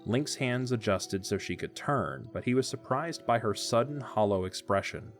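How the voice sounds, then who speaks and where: A man narrating an audio drama in a calm, measured storytelling manner. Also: mid-range voice. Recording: soft music behind.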